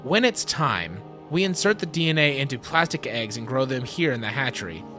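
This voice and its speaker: A man's voice: mid-range voice, sing-song, brisk, sharp tone. A man playing a proud, self-assured geneticist explaining his lab to visitors in a fan audio drama. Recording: music under the voice.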